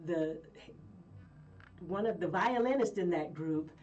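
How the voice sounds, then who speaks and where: A woman from Colorado, a guest singer telling stories in a rambling, lively way with frequent laughs. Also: on the low side, slow, far from the mic, highs cut off. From Colorado, USA. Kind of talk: casual interview chat.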